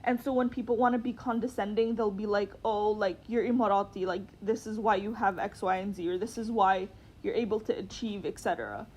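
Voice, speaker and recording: A woman's voice: medium pitch, fairly even intonation, brisk, darkish tone, slightly rough, lo-fi sound. A young woman who is an international student, talking casually with lots of "like" while giving her opinion. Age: young adult.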